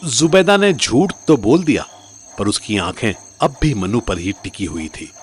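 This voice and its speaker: A man's voice: lowish voice, sing-song, a little slow. A man narrating a scripted story in a dramatic storytelling style.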